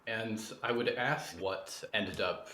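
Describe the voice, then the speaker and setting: A man's voice: lowish voice, slow pace, sharp tone. A man hosting a casual interview, asking questions in a tentative, meandering way. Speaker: podcast interviewer, host. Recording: roomy echo with faint background noise.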